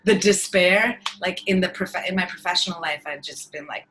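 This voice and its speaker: A woman's voice: lowish voice, normal speed, fairly bright tone. A woman whose first language is Farsi, chatting in a casual interview as a guest actor, rambling with many fillers and easy laughter. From United States.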